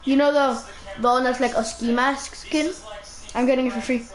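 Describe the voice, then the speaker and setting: A woman's voice: sits high, steady tone, normal speed. A woman streaming a video game, chatting casually and giggling as she plays, talking into a gaming mic. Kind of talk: casual chat while playing. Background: game music.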